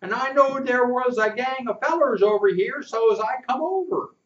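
A man voicing a scruffy, boastful country boy of about ten with a squeaky voice and rustic ungrammatical talk. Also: normal speed, fairly bright tone. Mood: earnest. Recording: roomy and distant, muffled.

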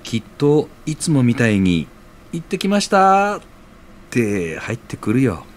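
A man playing a reasonable, reassuring friend in an audio drama, speaking gently. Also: medium pitch, very animated, measured pace.

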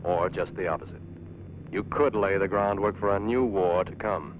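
Deep, stern American newsreel-style male narrator. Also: background noise. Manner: stern, clipped, emphatic, dramatic pauses. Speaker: narrator of military training film. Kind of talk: 1940s propaganda film narration. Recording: muffled 1940s film soundtrack with music.

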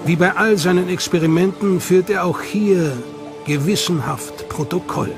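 A man giving measured, scripted documentary narration. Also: sits high, gravelly. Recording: voice-over with loud music behind.

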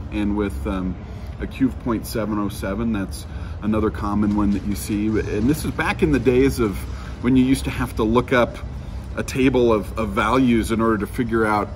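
A man in his thirties giving an informal technical explanation as an engineer being interviewed, halting as he thinks aloud. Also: lowish voice. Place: outdoors under a covered walkway.

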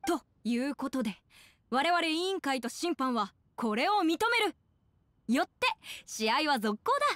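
A woman voicing a brisk, formal young contest announcer with theatrical commentary in a Japanese game. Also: high voice, expressive, fairly bright tone, no room sound. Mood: official.

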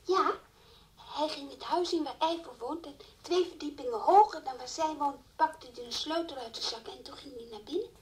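A woman's voice: high voice, moderate pace, recorded from a distance, close to an everyday voice. An actress playing a naive, chatty young schoolgirl answering questions in a radio drama. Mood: earnest, innocent.

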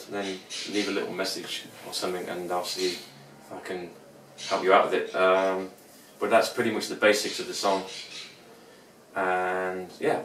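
A man in his forties, a bit hoarse, giving a casual, rambling guitar tutorial at home with many restarts. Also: low-pitched, a bit bright, slight background noise. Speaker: guitar teacher. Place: small cluttered room at home. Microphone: camera mic from a few feet away. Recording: echoey room, distant camera mic, guitar playing. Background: acoustic guitar playing.

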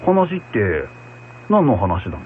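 Hard-drinking, friendly country packman played by a male actor, colloquial and animated, radio drama on a dull recording. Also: lowish voice, takes it slowly, full character voice. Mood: curious, friendly. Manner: rough, folksy, colloquial. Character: good-natured, hard-drinking packhorse driver, rustic man. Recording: muffled radio recording with hum, faint noise.

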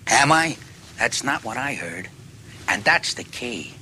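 An actor playing a dogged, deferential police detective, speaking in halting, folksy, musing phrases. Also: male voice, medium pitch, very animated, bright, forceful tone, exaggerated voice. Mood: animated, musing. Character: dogged, deferential, rumpled male police detective closing in on a killer. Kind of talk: dramatic dialogue acting. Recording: noticeable background noise.

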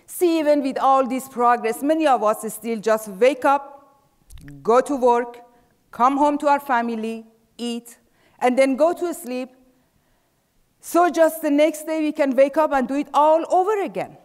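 Middle-aged Persian-accented woman, high voice, slow and measured stage delivery, reverberant hall. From Iran. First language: Farsi. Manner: measured, trailing off softly at phrase ends. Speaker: speaker. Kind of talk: prepared stage talk. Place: large dark theater stage. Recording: theater with long reverb.

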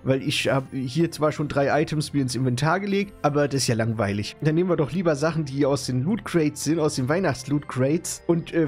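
A man's voice: mid-range voice, darkish tone, natural voice. A man voicing a cheery old German grandpa persona while playing a video game; he is a bit ill and often trips over his words. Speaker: YouTuber playing Minecraft. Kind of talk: casual let's-play commentary.

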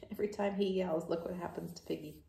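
A woman's voice: fairly low pitch, fairly even intonation, fairly quick, gravelly, mellow. A woman reading a picture book to children, adding chatty asides and questions in an echoey room indoors. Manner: addresses young listeners with questions. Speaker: teacher reading aloud to children.

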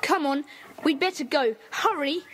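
Brisk young club leader, acted, high and animated, hissy cassette drama. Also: natural voice. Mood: eager. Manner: brisk, commanding. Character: bossy boy leader of a children's club. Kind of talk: radio play acting.

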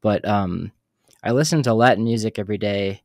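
A young man hosting a podcast from a small dark room on a studio mic, chatty and given to telling his own stories while asking questions. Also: on the low side, fairly quick, dry recording. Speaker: podcast host. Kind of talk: casual interview chat. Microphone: studio mic on arm, headphones.

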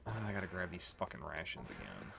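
A man's voice: deep voice, big pitch swings, fast talker, gravelly. A man giving casual, swear-prone gameplay commentary as the host of a let's play. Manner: profane, exasperated when struggling. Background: video game music.

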